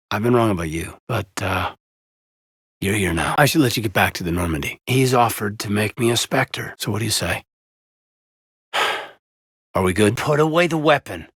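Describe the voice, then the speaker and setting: A man playing a loyal, earnest soldier in a video game, with a husky voice and a measured, sincere delivery that has hesitant pauses. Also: on the low side, fairly bright tone, dry recording, studio quality, heavily acted. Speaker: video game character, military officer. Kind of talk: cinematic video game dialogue acting.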